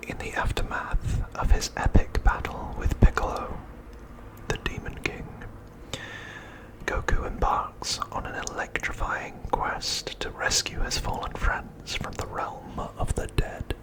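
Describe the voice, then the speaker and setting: A man hosting an ASMR video, speaking in a hushed, deliberate whisper. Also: poor audio. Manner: hushed, slow, deliberate delivery.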